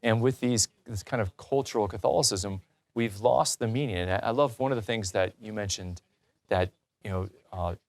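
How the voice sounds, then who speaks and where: A man's voice: on the low side, moderate pace, dry recording. A man hosting a religious talk show in a conversational, earnest and encouraging way.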